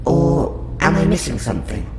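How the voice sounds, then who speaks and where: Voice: mid-range voice, sing-song, normal speed, noisy background. A performer with a woman's voice playing a courteous, curious alien scientist in an audio roleplay, questioning a human in formal, clinical wording. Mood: fascinated, puzzled. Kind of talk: audio roleplay monologue to the listener. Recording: roomy echo under loud music.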